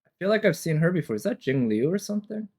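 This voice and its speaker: A man's voice: a little high, very animated, fast talker, darkish tone. A man giving casual, off-the-cuff commentary as a streamer, analytical and hesitant as he thinks aloud. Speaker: reaction streamer.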